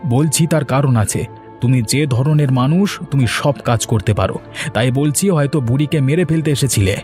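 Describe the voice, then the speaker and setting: A man's voice: medium pitch, normal speed, darkish tone, faint music behind, close to an everyday voice. A man voicing a stern, suspicious villager in a cartoon, confrontational and accusing. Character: stern, suspicious villager standing guard for others. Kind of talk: cartoon character dialogue.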